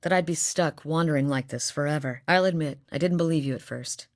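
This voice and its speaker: A woman's voice: low voice, fairly quick, no echo, studio quality. A woman voicing a determined young woman hunter, earnest and sincere in a video game. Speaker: voice actor. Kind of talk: video game character dialogue.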